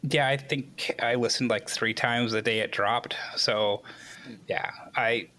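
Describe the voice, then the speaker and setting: A man's voice: mid-range voice, steady tone, slow, sharp tone. A man hosting a casual podcast interview in a conversational way. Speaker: podcast host.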